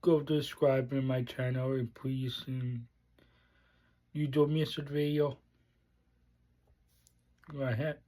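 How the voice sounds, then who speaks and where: A man hosting a casual unboxing vlog, speaking in halting, short fragmented phrases. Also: medium pitch, level tone, mellow. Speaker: unboxing video host.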